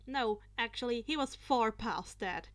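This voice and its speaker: A woman narrating fan fiction aloud in an expressive, playful amateur style, now and then stumbling over a word. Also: fairly high pitch, unhurried. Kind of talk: expressive amateur story reading.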